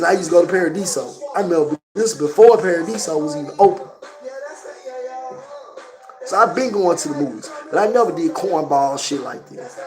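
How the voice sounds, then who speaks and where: A man with a Southern Memphis accent, his voice hoarse from a cold and breaking into coughs. He pitches high for a man and talks loose and profane straight to a phone camera. Music plays clearly under him the whole time. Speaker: vlogger reviewing a movie. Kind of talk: casual spoken movie review.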